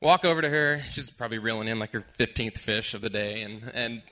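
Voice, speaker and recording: A man telling a personal story to a live audience, lively and amused at himself. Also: fairly low pitch, brisk. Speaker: storyteller on stage.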